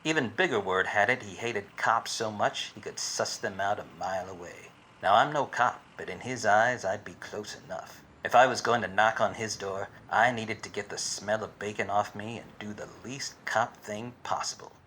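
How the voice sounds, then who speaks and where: A man playing a wisecracking, obtuse private detective in a deadpan 1940s radio noir parody. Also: fairly low pitch, normal speed, few pauses, a little hiss or hum, poor audio. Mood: wry, deadpan. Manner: deadpan, hardboiled.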